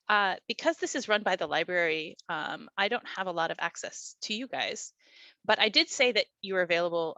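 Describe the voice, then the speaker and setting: A woman's voice: medium pitch, sharp tone, no room sound. A woman teaching an online class, talking in an encouraging, conversational way with many fillers over a video call. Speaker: class instructor.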